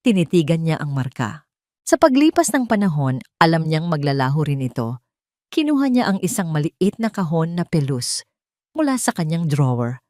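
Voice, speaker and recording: A Filipino woman delivering dramatic scripted story narration in a measured, sentimental way with deliberate phrasing. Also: sits low, darkish tone, no room sound, studio quality.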